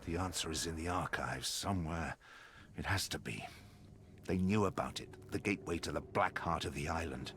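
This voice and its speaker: A man playing a cold, grandiose sorcerer villain in a video game, disdainful and menacing in his deliberate delivery. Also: a bass voice, fairly bright tone, a little hiss or hum. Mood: frustrated. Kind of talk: video game cutscene acting.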